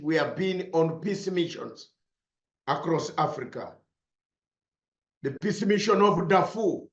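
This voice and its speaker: A man's voice: high voice, fairly bright tone. A man from Uganda, a broadcaster, giving an impassioned political speech with emphatic repetition. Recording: roomy, slightly dull sound.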